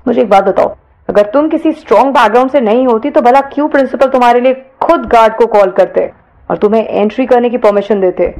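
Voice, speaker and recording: A woman's voice: on the high side, quick pace, a little mellow, close to an everyday voice, rough recording. Serious college guy, done by a woman narrator, indignant, pressing with questions, audio story. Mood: angry, accusing. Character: young male college student, serious, indignant, accusing. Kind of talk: audio story character dialogue.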